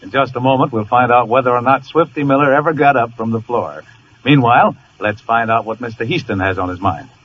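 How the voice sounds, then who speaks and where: A man's voice: mid-range voice, fairly quick, slight background noise. A man with an American accent doing scripted 1940s announcing as presenter of a radio drama. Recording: muffled 1940s radio recording. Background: music.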